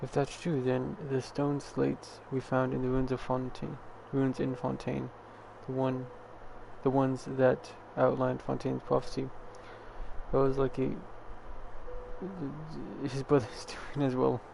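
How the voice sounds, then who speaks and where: Flat, creaky-voiced male game streamer. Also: mid-range voice, measured pace, a little hiss or hum, low-quality recording. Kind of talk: casual play-along commentary.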